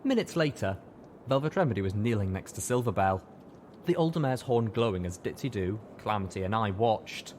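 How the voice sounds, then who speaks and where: A man narrates a story from a script in a fairly low voice. His pitch moves around in a lively way, and there is faint noise in the background. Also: a little fast. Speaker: audiobook reader.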